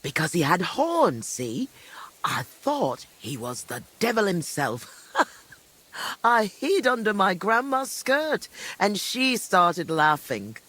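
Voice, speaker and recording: Husky, very animated old granny. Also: female voice, mid-range voice, slow, full character voice. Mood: nostalgic, animated. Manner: rambling, hesitant recollection with frequent second thoughts. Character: chatty, kindly old village granny, easily amused. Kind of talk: video game dialogue acting.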